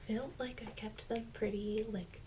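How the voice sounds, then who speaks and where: Loud background noise and clicks surround a woman's soft, breathy voice. She speaks ASMR style, often dropping close to a whisper, in a casual and gentle way, as if musing while she handles toys. Her pitch is average for a woman. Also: steady tone, a little slow. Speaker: ASMR video host. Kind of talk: soft-spoken ASMR toy unboxing.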